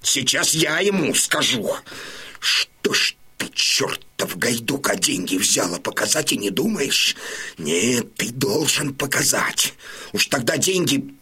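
An actor playing a proud, defiant Cossack warrior in an audio drama, declaiming combatively in a rough, husky voice. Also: male voice, medium pitch, big pitch swings, slow, bright, forceful tone, exaggerated voice. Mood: indignant, demanding. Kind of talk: theatrical audio drama acting.

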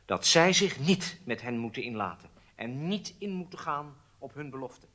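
An actor playing a frightened, compliant captured German spy in a radio drama, halting and submissive. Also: male voice, mid-range voice, very animated, bright, forceful tone. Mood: eager to comply. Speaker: prisoner under interrogation.

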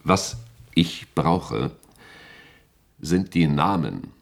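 An actor playing an impatient, domineering state security chief in a radio play, speaking in an insistent, irritable manner. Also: male voice, rumbling low, animated, very slow, gravelly, exaggerated voice. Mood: exasperated. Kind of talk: German radio play acting.

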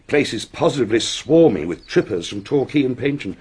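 An actor playing an emphatic, excitable English gentleman with an upper-class accent and a husky voice in a radio drama. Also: mid-range voice, fairly quick. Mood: polite, brisk.

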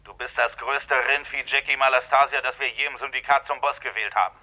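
An actor in a German radio play playing a menacing, mocking American gangster rival, cold and commanding through a telephone filter. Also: male voice, medium pitch, fairly even intonation, fairly quick, pushed and bright, rough recording. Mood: scornful.

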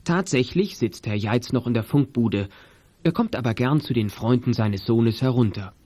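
A man reading scripted narration for a children's detective audio play, as a calm storyteller. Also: medium pitch, normal speed, natural voice. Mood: measured.

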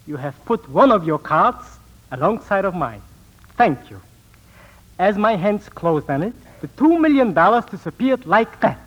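An actor in a 1940s radio drama playing a sinister, bitter small-time swindler and phony psychic, with formal, theatrical diction. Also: male voice, high-pitched, big pitch swings, a little slow, exaggerated voice. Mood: bitter, grandiose. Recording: muffled 1940s radio recording.

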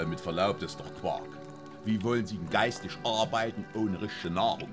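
A man's voice: on the low side, normal speed, over music, lightly acted. An actor playing a domineering, mocking, bombastic male composer in a radio play, blustering and teasing theatrically. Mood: mocking, lecturing. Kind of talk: German radio play acting.

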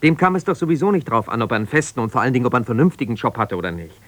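An actor playing an embittered, ambitious lawyer husband who talks in an argumentative, colloquial way in a naturalistic radio play. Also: male voice, medium pitch, sing-song, rapid-fire, a bit bright, no room sound. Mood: indignant, defensive. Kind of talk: naturalistic radio play, one side of a phone call.

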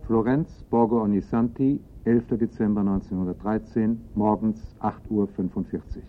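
An actor playing a sober narrator who sets the scene in scripted radio-play narration. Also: male voice, on the low side, fairly even intonation, normal speed, faint music behind, lightly acted. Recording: narrow, phone-like old radio recording.

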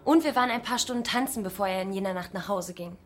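A woman's voice: mid-range voice, fast, lightly acted. An actress playing a plucky, stubborn young woman in her early twenties in a radio drama, cheeky and insistent. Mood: grave, insistent.

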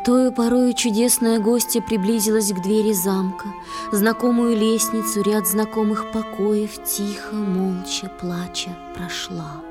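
A woman narrating an audio play in verse, slow, solemn and expressive. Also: mid-range voice, over music. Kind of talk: scripted dramatic verse narration.

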